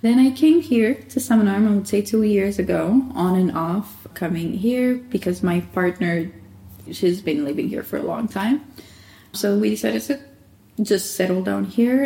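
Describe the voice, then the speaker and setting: A woman's voice: medium pitch, measured pace, creaky, reverberant, poor audio. A woman from Angola who owns a candle and soap shop, answering interview questions in a casual, chatty way with frequent laughs. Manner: warm laughing, chatty with self-quoting.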